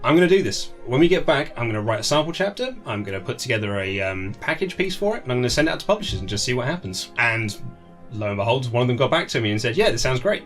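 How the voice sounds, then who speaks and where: A man's voice: medium pitch, big pitch swings, fast, soft background music. A man being interviewed as an author, chatting casually and telling stories in a chatty way, often acting out conversations. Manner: chatty storyteller who acts out quoted conversations. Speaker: author being interviewed about his book. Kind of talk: casual chat, animated anecdotes.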